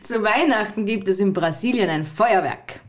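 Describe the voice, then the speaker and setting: A woman's voice: mid-range voice, expressive, normal speed. A woman co-hosting a podcast, reading out fun facts with plenty of giggling. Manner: giggly, addresses listeners warmly. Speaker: podcast co-host. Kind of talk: casual fun-facts chat.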